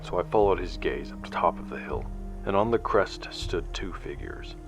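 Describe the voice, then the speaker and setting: A man's voice: deep voice, animated, moderate pace, gravelly, music in the background. A man playing a somber investigator, narrating an eerie case in the first person in a sci-fi audio drama. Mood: somber, mystified.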